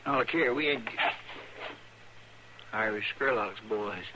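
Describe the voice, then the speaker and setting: An actor playing a simple, stubborn, worried frontier deputy with a folksy rural Western drawl in an old radio Western. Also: male voice, lowish voice, slow pace. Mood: alarmed, stubborn. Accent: American rural Western drawl. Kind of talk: 1950s radio Western acting. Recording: noisy, crackly old radio recording.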